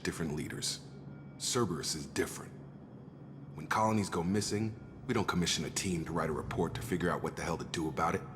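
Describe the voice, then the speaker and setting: An actor playing a jaded, dutiful ex-military operative in a video game, plain-spoken and matter-of-fact. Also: male voice, low voice, lightly acted. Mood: disillusioned. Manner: matter-of-fact, world-weary. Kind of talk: cinematic video game dialogue. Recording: long reverb, loud background noise.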